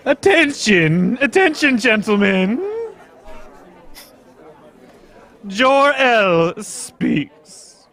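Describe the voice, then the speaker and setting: A man's voice: sits high, big pitch swings, extremely slow, fairly bright tone, full character voice. A man playing a pompous, declamatory council chairman in an old-time radio drama. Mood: commanding. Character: pompous council chairman calling for order, dismissive.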